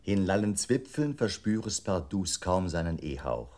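Low, deliberate declaiming machine. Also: male voice, big pitch swings, a little mellow. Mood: deliberate, formal. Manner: deliberate, formal articulation of tongue-twisting nonsense. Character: computer memory voice declaiming distorted poetry. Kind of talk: 1960s German radio play recitation.

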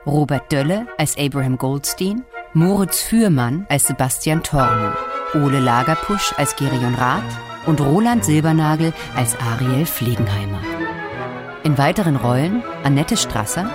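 A man announcing scripted radio drama credits in a formal way over music. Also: on the high side.

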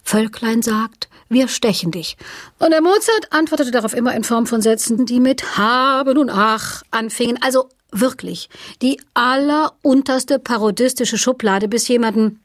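A woman's voice: a little high, normal speed, no room sound, clean studio sound, natural voice. A woman performing a wry storyteller who recounts a literary gathering in a radio play. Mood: wry storytelling.

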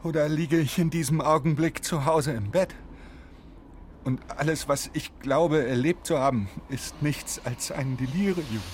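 Actor as a bitter, agitated husband, high-pitched. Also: male voice, a little slow, a little hiss or hum, close to an everyday voice. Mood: bewildered doubt. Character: bitter, agitated husband in his inner monologue. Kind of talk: radio drama acting.

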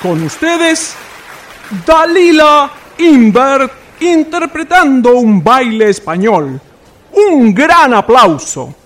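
A man's voice: very high voice, sing-song, slow pace, fairly bright tone, slight background noise, exaggerated voice. A man playing a showy master of ceremonies in a radio drama, presenting a stage act grandly. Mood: grand, announcing. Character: showy male master of ceremonies at a variety show.

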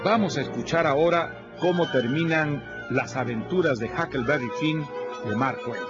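A man with a Peruvian Spanish accent making a formal, measured announcement as a radio announcer. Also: on the high side. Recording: dull lo-fi radio recording. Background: loud music.

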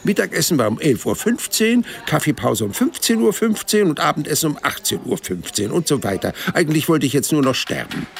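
An actor playing a wry, stubborn hundred-year-old man who narrates his life in a dry, deadpan way in an audio drama. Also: fairly high pitch, fairly quick, creaky, hardly any pauses, a little hiss or hum. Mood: weary, exasperated. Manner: dry, deadpan, matter-of-fact storytelling.

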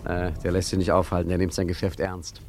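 A man's voice: low-pitched, completely flat delivery, a little fast, background noise. A man acting in a 1950s German radio play, playing a roguish, talkative art forger with a wry, mock-grandiose manner. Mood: teasing. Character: roguish, wry male art forger posing as poor artist.

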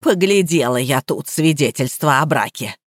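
A woman's voice: low voice, expressive, moderate pace, dry recording, studio quality, pitched below the performer's own voice. A woman voicing a haughty old schemer in an audiobook, drawling with theatrical, saccharine sweetness. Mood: haughty, sly.

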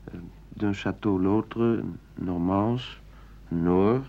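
An actor playing a polite, hesitant radio interviewer in a radio play, putting halting questions. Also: male voice, fairly low pitch. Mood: hesitant, persistent. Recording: muffled 1980 radio drama recording.

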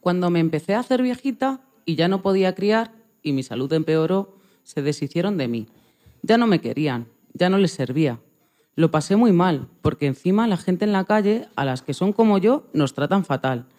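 A man's voice: high voice, expressive, normal speed, darkish tone. A man reading a first-person story aloud from a script.

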